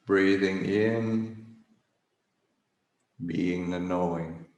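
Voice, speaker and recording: A man leading a guided meditation as a Buddhist teacher, speaking haltingly with long pauses as he searches for words. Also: sits low, steady tone, a little mellow, lo-fi sound. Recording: roomy, echoing livestream recording.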